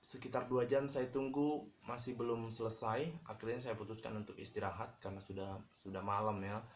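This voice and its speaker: A man from Medan sharing tips on his channel in a casual vlog, talking in a relaxed, halting way with many fillers. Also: fairly low pitch, slight background noise. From Medan, Indonesia. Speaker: YouTuber.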